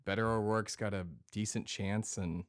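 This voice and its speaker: A man playing a casual, sardonic podcast producer who talks hesitantly and deadpan in a scripted comedy. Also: fairly low pitch, moderate pace, close to an everyday voice. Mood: earnest.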